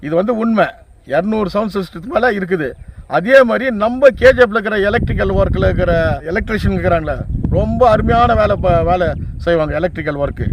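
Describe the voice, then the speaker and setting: An older man with a high voice and a creaky, gravelly edge speaks. He reminisces casually, respectfully addressing his listener, and often repeats himself as he works through lists from memory. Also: slight background noise. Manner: repetitive, listing from memory. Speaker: interviewee, veteran sound system operator.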